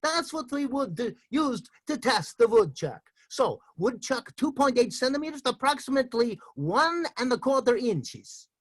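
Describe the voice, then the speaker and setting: A man's voice: moderate pace, hardly any pauses, heavily acted. A man doing a put-on as a boastful tourist, improvising over a video call. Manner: booming, know-it-all, deadpan tall tales. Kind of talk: improv comedy.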